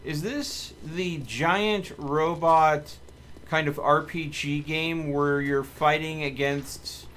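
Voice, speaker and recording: A man's voice: on the high side, fairly even intonation, takes it slowly, a bit bright, slight background noise. A man chatting casually as a game streamer, with playful, joking asides. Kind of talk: casual gaming livestream chat.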